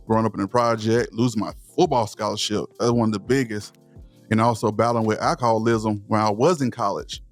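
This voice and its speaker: Southern-accented man speaking with conviction. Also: fairly low pitch. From East Texas. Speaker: guest. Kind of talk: podcast interview.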